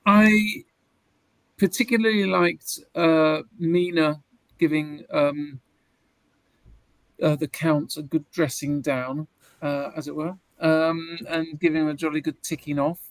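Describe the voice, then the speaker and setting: A woman chatting as a livestream guest in a hesitant, polite, measured way. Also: low-pitched, steady tone, no room sound, poor audio. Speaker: cast guest on a Q&A. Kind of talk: casual livestream chat.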